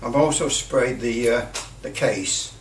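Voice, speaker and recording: A man giving a casual hands-on commentary as a hobbyist, halting and muttering a little to himself as he works. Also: medium pitch. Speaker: hobbyist presenter. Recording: roomy, distant mic with light noise.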